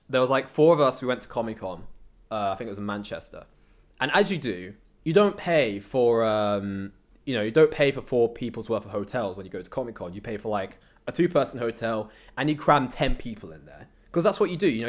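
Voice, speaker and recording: A young British man chatting casually as an interview guest, telling anecdotes in a slangy, rambling way. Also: mid-range voice. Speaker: interview guest, content creator.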